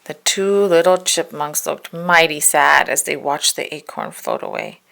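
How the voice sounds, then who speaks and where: A woman reads a children's story aloud as its narrator. Her voice sits on the low side and has a clear creak of vocal fry. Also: wildly swooping pitch, measured pace, a bit bright. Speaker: storybook reader.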